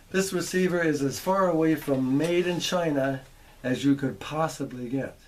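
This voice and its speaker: Mellow man presenting a hobby demonstration. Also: mid-range voice. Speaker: host of a radio demonstration. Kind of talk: explanatory hobbyist product tour.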